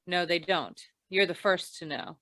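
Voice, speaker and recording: A woman playing a deadpan, hard-boiled female investigator in an old-time radio crime noir, with dry, world-weary delivery. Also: fairly low pitch, a little slow, dry recording. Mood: cool, matter-of-fact. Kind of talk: 1940s radio noir narration and dialogue.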